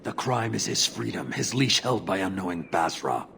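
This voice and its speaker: An actor playing a stern, zealous, commanding giant warrior in a video game, speaking formally and with measured weight. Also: male voice, on the low side, expressive, gravelly, background noise. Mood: stern, cold.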